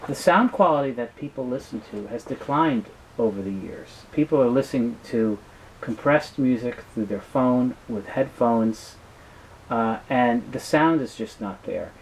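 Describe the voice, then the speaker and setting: A man being interviewed, talking casually and explaining things in a halting way with frequent restarts. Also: mid-range voice, expressive, slight background noise, poor audio. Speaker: business founder being interviewed.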